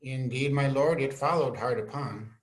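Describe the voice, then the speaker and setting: An earnest young scholar and loyal friend to a prince, read by an amateur male actor, speaking in a mid-range voice. He delivers Shakespearean verse in a measured, respectful way, as in a staged reading. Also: mid-range voice, natural voice, poor audio. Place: indoors, on a video call. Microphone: video call.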